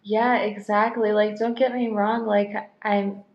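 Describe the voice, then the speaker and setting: A young woman whose first language is Polish talks in a loose, chatty way, circling back with likes and restarts. Her voice sits at a middle pitch. The room around her rings with echo. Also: little pitch movement, a little slow, muffled recording, very noisy. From United States. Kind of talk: casual podcast conversation. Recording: roomy echo.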